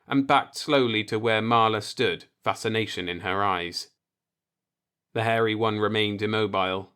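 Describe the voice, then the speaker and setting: A man's voice: mid-range voice, fairly bright tone. A man reading a science fiction story aloud as an audiobook narrator.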